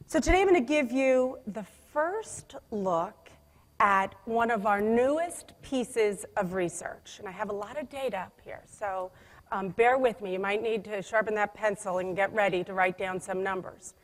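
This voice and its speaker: Woman conference speaker, loud and emphatic, restarts often, mid pitch, echoing hall. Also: normal speed. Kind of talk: conference keynote presentation. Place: conference room. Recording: long hall reverb.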